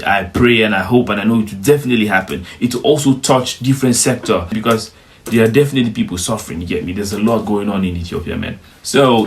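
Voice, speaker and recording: A young man from Africa talking casually and emphatically as a vlogger reacting to footage, often adding "bro" and "you get me". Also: on the low side.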